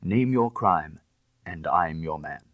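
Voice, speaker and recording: A man voicing an anxious, earnest young gentleman accomplice in an audiobook, with agitated, formal, old-fashioned phrasing. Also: rumbling low, lively intonation, slow pace, no room sound, narrow, tinny audio, full character voice. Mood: desperate, resolute. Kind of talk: dramatised audiobook reading of classic fiction.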